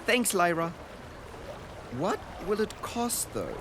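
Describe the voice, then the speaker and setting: A man acts a wonder-struck young boy on a journey in a radio drama. His voice is fairly high and very animated, earnest and descriptive as he records an audio diary. Clear outdoor noise of water and vehicles sits around him. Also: slow, exaggerated voice. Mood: amazed, excited.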